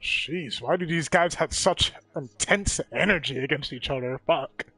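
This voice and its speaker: A man's voice: a little high, unhurried, pushed and bright, husky, no echo, soft background music. A man streaming a video game with casual commentary, full of sweary, exasperated asides.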